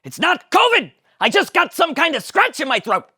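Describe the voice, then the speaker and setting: A woman voicing a grumpy older father who shouts back in protest. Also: high voice, very animated, normal speed, pitched above the performer's own voice, exaggerated voice. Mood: indignant.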